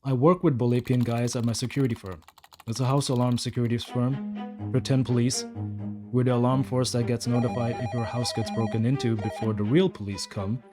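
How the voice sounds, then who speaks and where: A man's voice: mid-range voice, steady tone, a little fast, over music, close to an everyday voice. A man playing a blunt, defensive, fed-up security guard rambling through a confession in an audio story monologue. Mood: exasperated.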